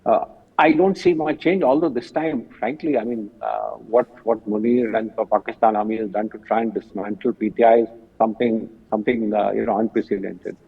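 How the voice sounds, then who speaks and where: A distant, phone-like line carries an older Indian-accented man with a lowish, husky voice. He comments as a guest analyst, halting often as he goes. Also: fairly even intonation. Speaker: retired general, guest analyst. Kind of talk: interview commentary. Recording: muffled phone-like remote call, distant.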